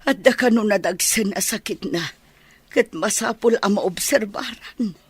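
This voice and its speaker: A woman's voice: mid-range voice, moderate pace, slightly rough, no room sound, lightly acted. A woman playing a concerned, practical older grandmother in a Filipino-accented radio drama. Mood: resigned, worried. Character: caring, practical older grandmother, mother of the household. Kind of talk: Philippine radio drama acting.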